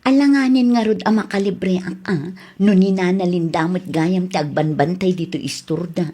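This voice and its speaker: A woman's voice: on the low side, normal speed, mellow, close to an everyday voice. A woman voicing a chatty customer at a rice store in a radio drama. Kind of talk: Ilocano radio drama acting.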